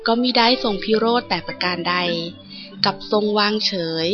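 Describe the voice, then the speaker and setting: A woman's voice: mid-range voice, moderate pace. A woman narrating a scripted storybook tale as an audiobook reader. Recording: dull lo-fi audio with music behind. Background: music.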